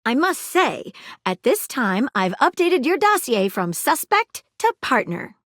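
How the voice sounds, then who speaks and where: An earnest detective object in a dating game. She talks in formal, precise phrases with a lively, swinging pitch a little above the usual. The sound is close and dry. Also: unhurried, no room sound, full character voice. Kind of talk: game dialogue clips. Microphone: studio booth mic.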